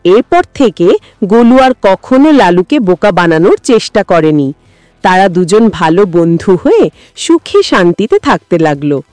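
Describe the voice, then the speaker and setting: A woman's voice: on the low side, sing-song, measured pace, darkish tone, no room sound, faint music behind. A woman narrating a scripted story for children as a storyteller.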